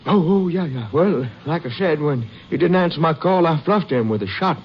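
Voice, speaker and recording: An actor playing a folksy, defensive older Texas rancher in a 1950s radio western, with rambling rural talk. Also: male voice, on the high side, lively intonation, fairly quick, natural voice. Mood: earnest, puzzled. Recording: muffled old radio recording, some noise.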